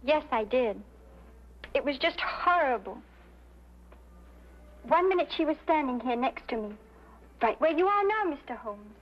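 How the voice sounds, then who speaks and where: A woman's voice: high voice, expressive, a little slow, long pauses. An actress playing an earnest, obliging young showgirl singer in an old-time detective drama, telling things dramatically. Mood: shaken. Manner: earnest, dramatic, gossipy recounting. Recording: muffled old radio-era recording.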